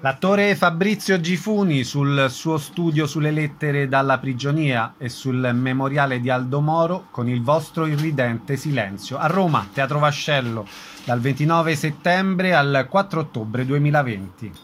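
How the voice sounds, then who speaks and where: A man's voice: on the high side, a little slow, a bit bright. Male radio announcer over faint music. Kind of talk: scripted radio announcement of a theatre show.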